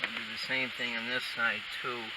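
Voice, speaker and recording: A man walks through a hands-on job in an informal, folksy way, thinking aloud as he works. His voice sits at a distance from the microphone. A clear hiss runs through the recording. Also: mid-range voice, level tone, moderate pace. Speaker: DIY installer demonstrating. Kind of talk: casual hands-on tutorial talk. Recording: hissy, noisy, distant camera mic.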